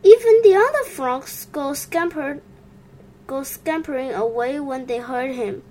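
A woman voicing a male duck who complains about the noise on the pond but speaks up calmly when needed. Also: high-pitched, unhurried. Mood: grumbling. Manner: complaining, then steady. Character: fussy male duck, calm and brave.

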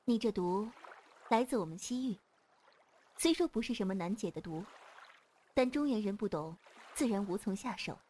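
A woman's voice: mid-range voice, lively intonation, moderate pace, long pauses, mellow, a little hiss or hum, lightly acted. A woman playing a commanding, self-assured female martial sect leader in a Chinese wuxia audio drama. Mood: composed, caring. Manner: imperious, self-assured, clipped orders.

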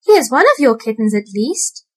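A woman voicing a brisk, bossy female neighbour cat who speaks firmly. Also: a little high, sing-song, a little mellow. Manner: brisk and firm.

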